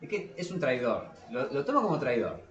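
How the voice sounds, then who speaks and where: A man with an Argentine Spanish accent in a casual podcast discussion, speaking haltingly and repeating words as he thinks aloud. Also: medium pitch, sing-song, a bit bright, dull audio. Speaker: podcast co-host. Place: small cramped room below a staircase. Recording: roomy echo of a small room.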